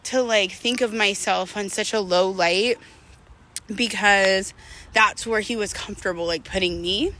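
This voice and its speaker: A woman's voice: mid-range voice, measured pace, sharp tone. A woman in her thirties talking in a candid, rambling, slangy way, as in a casual confessional walking vlog. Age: 30s. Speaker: vlogger.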